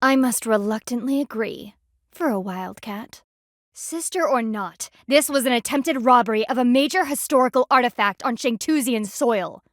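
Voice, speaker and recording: Game role, female actor: stern panda army lieutenant, woman, highish voice, formal and imperious, dryly disdainful, very dry close sound. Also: normal speed, no room sound, close to an everyday voice. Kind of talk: video game voice acting.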